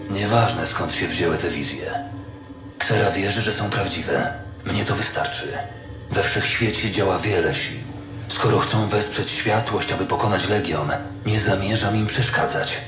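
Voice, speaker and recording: An actor playing a steadfast, devoted human paladin in an audio drama, speaking with calm assurance. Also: male voice, low-pitched, unhurried, echoey room, over music. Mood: calm, resolute.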